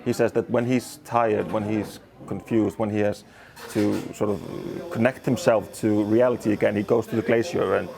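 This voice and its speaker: A man, speaking thoughtfully in a documentary interview, measured and unhurried. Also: fairly low pitch, fairly even intonation, gravelly. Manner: thoughtful, measured, with pauses and fillers.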